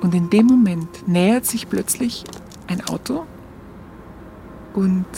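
An actress playing a Munich woman with a Bavarian accent, candidly recalling her wartime youth in a colloquial, halting way for a radio play. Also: medium pitch, creaky, dark tone, a little hiss or hum, low-quality recording. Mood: reflective. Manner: colloquial, confiding, halting recollection. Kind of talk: first-person radio play narration.

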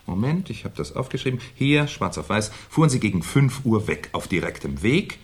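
An actor playing a shrewd, sardonic Paris police inspector in a 1970s German radio crime play, deliberate and mock-courteous. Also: male voice, on the low side, sing-song, slightly rough. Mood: skeptical.

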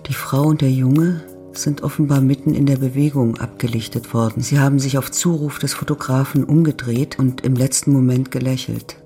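A man reading a scripted literary narration for an audio drama in a measured, descriptive way. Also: mid-range voice, fairly even intonation, dark tone. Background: music.

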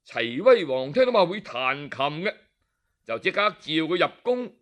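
Man, Hong Kong Cantonese accent, traditional storyteller, bright tone, very expressive pitch, dramatic pauses. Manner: animated and dramatic, with interjections and pauses.

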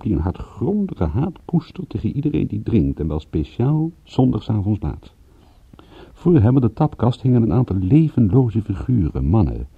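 A muffled old radio play features a wry, observant private detective. His voice is low and very husky, with a lively melodic range. He tells his case in dry, colloquial, sardonic phrases. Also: no echo, exaggerated voice. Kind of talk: radio drama narration and dialogue.

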